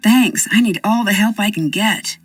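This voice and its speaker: An actress voicing a confident young American amateur detective who asks direct, probing questions with dry wit. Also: female voice, medium pitch, normal speed, slightly rough, dry recording, far from the mic, faint music behind, lightly acted. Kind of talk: video game voice acting.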